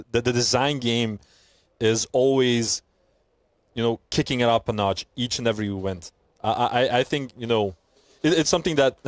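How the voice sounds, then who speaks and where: A man's voice: medium pitch, no room sound. Chatty Belgian-born man commentating a stream. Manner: chatty, reads out chat messages, laughs often, excited during play. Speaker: livestream commentator. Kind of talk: casual stream chat and play-by-play commentary.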